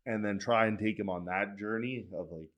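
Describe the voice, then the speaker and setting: Low-voiced man talking earnestly. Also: moderate pace, fairly bright tone. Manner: earnest, rambling, many "like" fillers and restarts. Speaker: guest, school vice principal. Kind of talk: casual interview chat.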